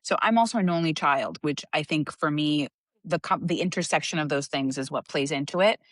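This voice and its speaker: Female podcast guest from Cuba, low voice, thoughtful and lively, dry close recording. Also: fairly quick, no room sound. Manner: animated, reflective, laughs often. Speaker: guest, organizational psychologist. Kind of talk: casual interview talk.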